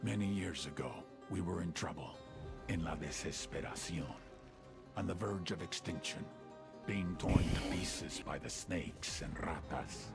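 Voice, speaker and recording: An actor playing a solemn cartel preacher, reverent and grandiose, with a Latin American Spanish accent and a gravelly voice in a video game. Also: male voice, sits low, a little slow, music in the background. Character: solemn male cartel preacher praising his saintly smuggler queen. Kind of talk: sermon-like dramatic monologue.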